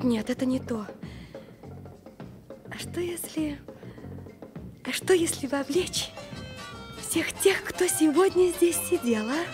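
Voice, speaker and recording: A woman's voice: high voice, natural voice. An actress playing a principled, impassioned woman journalist, earnest and emphatic in the style of Soviet radio theatre. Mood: anxious deliberation.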